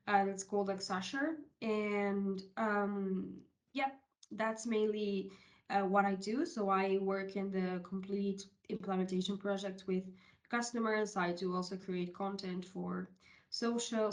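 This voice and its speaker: She is a woman of thirty-one from Uruguay, speaking with a Uruguayan Spanish accent. Her voice sits at an average pitch for a woman. She presents informally in an online session, explaining things step by step with some hesitation. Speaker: presenter, HR technology consultant. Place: online video call session.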